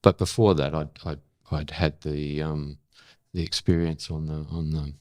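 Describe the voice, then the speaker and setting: Older Australian male interviewee, very low voice, dry close sound, candid and emphatic, thoughtful halting delivery. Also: level tone, a little mellow, dry recording. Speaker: interview guest, former journalist. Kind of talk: reflective interview talk.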